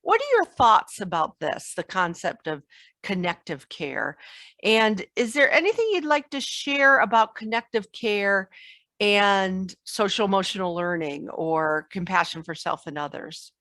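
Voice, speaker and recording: A woman hosting an online summit interview, friendly and encouraging, filling pauses with ums, heard over a video call. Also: medium pitch, sing-song, a bit bright, dry recording. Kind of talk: interviewer questions.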